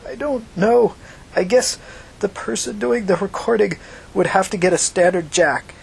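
A man's voice: high-pitched, moderate pace, creaky, slightly rough, pitched above the performer's own voice, exaggerated voice. A man voicing a cowed, evasive male hacker, hesitant and whiny, in an audiobook story. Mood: hesitant, explaining.

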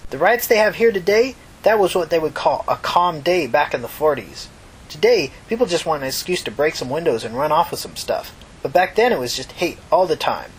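A man's voice: a little high, lively intonation, brisk, breathy edge, poor audio. A man voicing a tired, solemn, talkative old woman with a folksy, earnest manner in a fiction reading. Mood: reminiscing, emphatic.

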